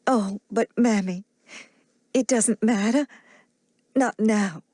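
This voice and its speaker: Gentle timid young lady, read by a woman, soft and hesitant, dry close recording. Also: medium pitch, slow, no room sound.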